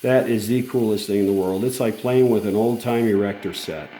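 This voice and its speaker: Mellow-voiced man in a roomy space. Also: fairly low pitch, fairly quick, slight background noise. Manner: enthusiastic, folksy, informal. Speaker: radio restorer giving a demonstration. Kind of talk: casual hands-on demo chat. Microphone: handheld smartphone camera. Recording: roomy phone-camera sound. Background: vintage radio playing music.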